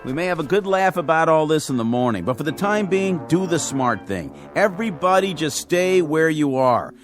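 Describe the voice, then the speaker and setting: An actor playing a steady, reasonable state trooper in a radio play, calm and authoritative. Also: male voice, fairly high pitch, moderate pace, close to an everyday voice. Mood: firm, calming. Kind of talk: dramatic radio play acting. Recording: radio play with prominent music underneath.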